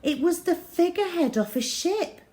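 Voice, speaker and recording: A woman's voice: very high voice, lively intonation, normal speed, warm tone. Woman reading a children's story expressively. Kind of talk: expressive first-person children's audiobook narration.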